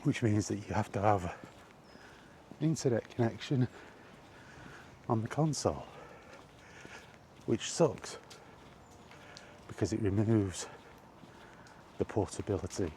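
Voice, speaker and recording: A man giving a casual, halting opinion monologue to a vlog audience, rambling along in short phrases while out walking. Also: fairly low pitch, expressive, mellow, slight background noise. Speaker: video blogger. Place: outdoors, walking.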